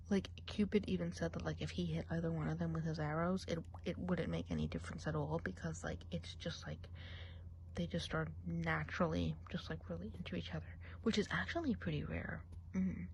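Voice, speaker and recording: A woman playing a gossipy witch of the woods in an ASMR role play. She slips between a soft speaking voice and a whisper, and she slows right down to give careful instructions. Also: on the low side, steady tone, a little hiss or hum, lightly acted.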